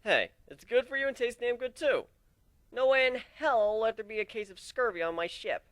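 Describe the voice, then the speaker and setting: A man's voice: extremely high pitch, lively intonation, normal speed, a bit bright, dry recording, pitched above the performer's own voice. A man voicing a cocky griffin ship captain who talks in a casual, boastful way, in a dramatic story reading. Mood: cocky, animated. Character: cocky young male griffin ship captain, brash fighter.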